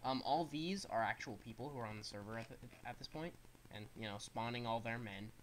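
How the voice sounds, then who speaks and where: A man's voice: mid-range voice, fairly quick, a little hiss or hum, rough recording. Stuffy-nosed young man narrating gameplay. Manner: rambling, explains controls as he plays. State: stuffed-up nose. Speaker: gaming streamer. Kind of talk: casual gameplay commentary.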